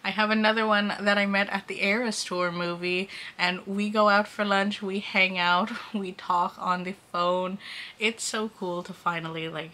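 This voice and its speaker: A woman's voice: mid-range voice, sharp tone. A woman in her thirties chatting casually to camera in a vlog, giggly and filling her talk with "like". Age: 30s. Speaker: vlogger.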